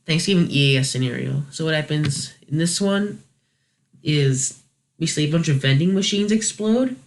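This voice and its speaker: Male video host, voice a bit high; unscripted, casual delivery, tentative and self-correcting. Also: lively intonation, normal speed. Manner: hesitant, thinking aloud, self-correcting. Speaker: host of a video review series. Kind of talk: casual unscripted commentary, rating scenarios.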